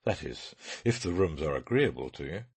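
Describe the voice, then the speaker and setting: A man voicing a brisk, eccentric detective in an audiobook, lively and matter-of-fact. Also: very deep voice, breathy edge, full character voice. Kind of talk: audiobook character reading.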